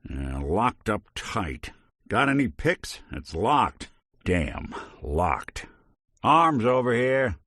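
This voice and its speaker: A low-pitched, world-weary American robot detective from a video game. He talks like a film noir private eye, dry and sardonic, with lively swings in pitch. The recording is close and dry. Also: extremely slow, no room sound, heavily acted. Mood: casual, alert. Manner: dry, sardonic, old-fashioned slang. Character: world-weary, wry, middle-aged male robot detective.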